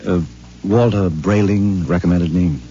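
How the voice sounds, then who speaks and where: An actor playing a nervous, henpecked middle-aged husband who stammers and hesitates, in a 1950s radio drama. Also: male voice, deep voice, steady tone, measured pace, warm tone, slight background noise. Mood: anxious. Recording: dull old radio broadcast recording.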